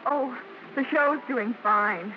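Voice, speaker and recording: An actress playing a proud, spirited young American vaudeville dancer, tender yet easily indignant, in theatrical 1950s radio drama. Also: female voice, a little high, slow. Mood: guarded. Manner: theatrical, emphatic. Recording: muffled, noisy old radio broadcast.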